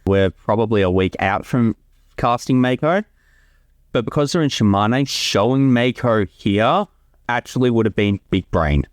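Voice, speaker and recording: A man's voice: medium pitch, measured pace, dry recording, clean studio sound. Male co-creator on a commentary track. Casual, chatty, informal, sweary, closely recorded with no room sound. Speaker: co-creator giving commentary on own fan series.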